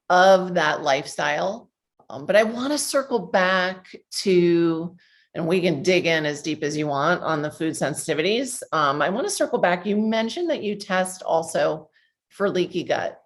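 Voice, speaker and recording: A woman with an American accent hosts in a casual, conversational way. Her voice is a bit lower than most women's. She talks as a coach interviewing a guest. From New Jersey, United States. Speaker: podcast host, health coach.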